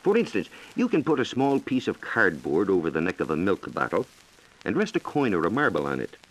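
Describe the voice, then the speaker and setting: An American man explaining science in a measured, lecturing way, as a reporter in a scripted 1940s educational radio dialogue. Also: low-pitched, huge pitch swings, poor audio. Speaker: science reporter and guest expert.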